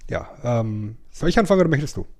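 A man's voice: medium pitch, expressive, quick pace, darkish tone. A man co-hosting a podcast in casual, colloquial chat, repeating words for emphasis. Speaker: podcast co-host.

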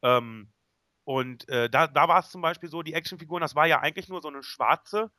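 A man's voice: a little high, brisk, sharp tone, no room sound, studio quality. A man hosting a casual podcast chat, talking conversationally and often checking for agreement. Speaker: podcast host.

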